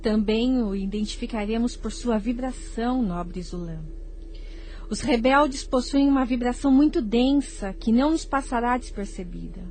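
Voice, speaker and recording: Woman acting Dara, a grave, high-voiced noble seer. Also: darkish tone, soft background music, natural voice. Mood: grave, intent. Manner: formal, deliberate. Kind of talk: solemn audio drama acting.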